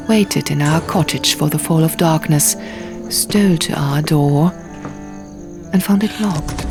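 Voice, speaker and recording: Low-voiced woman narrating a fantasy audiobook. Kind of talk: scripted first-person fantasy narration. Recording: music behind. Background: music.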